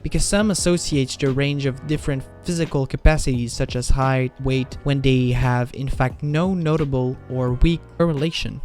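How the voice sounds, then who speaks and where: A man's voice: mid-range voice, a little mellow. Man narrating a scripted video essay over music.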